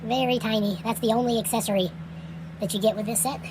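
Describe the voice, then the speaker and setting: A woman's voice: fairly high pitch, fast. Chatty woman unboxing with background noise. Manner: relaxed, chatty, with frequent fillers and trailing phrases. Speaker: toy reviewer. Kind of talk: casual unboxing commentary. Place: indoors at night. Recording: home recording with steady background noise.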